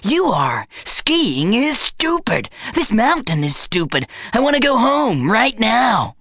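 A man voicing a whining, petulant talking bum in a comic storybook reading, high and strained against his own voice. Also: sing-song, slow pace, gravelly, fairly bright tone, no echo, studio quality, exaggerated voice. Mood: complaining. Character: whiny, complaining talking bum.

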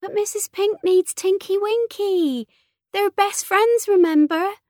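Woman voicing a high-pitched, breezy teenage daughter. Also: takes it slowly, darkish tone, no room sound, clean studio sound. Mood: pleading, childlike. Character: eighteen-year-old daughter, breezy, cheeky, childishly wheedling teenager. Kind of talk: audiobook character dialogue.

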